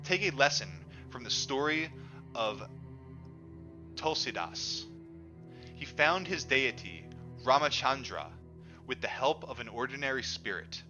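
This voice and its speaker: A man reading a book aloud as a storyteller, in an animated way that acts out the dialogue. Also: mid-range voice, a little slow, pauses often. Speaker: audiobook reader. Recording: dull lo-fi audio, music underneath. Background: music.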